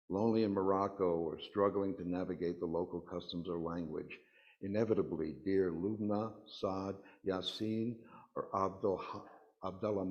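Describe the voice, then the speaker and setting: A man reading a personal reflection aloud to a congregation at a worship service, in slightly muffled sound. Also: sits low. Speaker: congregation member reading a vignette.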